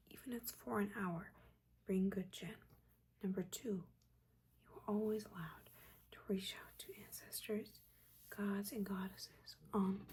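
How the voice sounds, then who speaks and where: A woman voicing a judgmental but caring witch mentor in a breathy, chatty, chiding whisper, in a soft-spoken ASMR roleplay. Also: mid-range voice, a little hiss or hum, natural voice. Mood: gently instructive. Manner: chatty, chiding, casual slangy asides.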